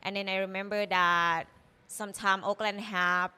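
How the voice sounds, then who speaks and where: A Thai woman in her thirties, speaking English with a Thai accent, talks easily in a relaxed interview. Her voice is smooth and clear at an ordinary middle pitch. She strings her thoughts together with plenty of "like" and "you know". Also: normal speed. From Thailand. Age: 30s. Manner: chatty and loose, full of "you know" and "like". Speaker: chef and guest. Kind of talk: casual interview.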